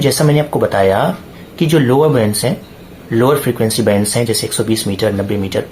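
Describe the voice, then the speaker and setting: A man's voice: mid-range voice, slight background noise. A man with an Indian accent giving an explanatory tutorial point by point, in a didactic way, posing questions and answering them himself. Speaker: presenter of a radio hobby tutorial.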